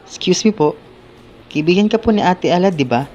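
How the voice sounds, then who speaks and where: A man's voice: sits high, lively intonation, moderate pace, a little mellow, lo-fi sound, a little hiss or hum. A man playing a polite, dutiful teenage brother in an amateur radio drama. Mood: polite, concerned. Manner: polite, deferential to elders. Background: background music.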